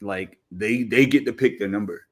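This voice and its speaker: A man's voice: lowish voice, moderate pace. A man in a casual sports chat, speaking as a panelist on a fan podcast.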